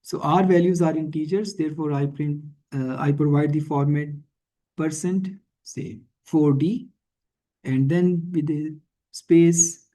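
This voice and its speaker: A man teaching in a screencast tutorial, thinking aloud haltingly and often checking in with a questioning tag. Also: on the high side, fairly even intonation, dark tone, poor audio. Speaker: instructor. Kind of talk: screencast programming and statistics tutorial.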